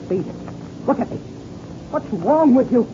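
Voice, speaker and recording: An actor playing an earnest, anxious young co-pilot in a melodramatic 1930s radio serial. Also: male voice, very high voice, lively intonation, measured pace. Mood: alarmed. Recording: muffled, noisy old radio recording.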